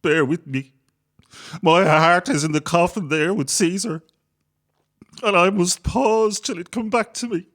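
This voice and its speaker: An actor playing a restless, sardonic young Irish rebel soldier in an audio play, with a theatrical manner and an Irish accent. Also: male voice, sits high, unhurried, long pauses, a bit bright. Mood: declamatory. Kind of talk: audio drama acting.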